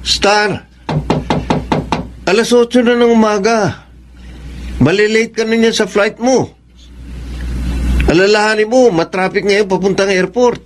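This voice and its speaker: Jealous filipino husband, high-ish male voice, insistent, radio drama, noisy background. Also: measured pace, pauses often. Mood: matter-of-fact. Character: jealous, wounded young husband. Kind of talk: Tagalog radio romance drama acting.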